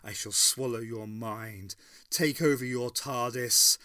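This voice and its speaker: Man voicing a female worm monster, menacing, boastful, booming, dead-dry read. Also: fairly even intonation, slow, pushed and bright, no room sound. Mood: gloating.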